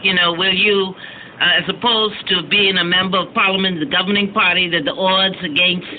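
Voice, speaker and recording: A man working as a radio interviewer, putting political questions in a tentative, halting way. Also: high-pitched, steady tone. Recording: noisy, narrow phone-like radio audio.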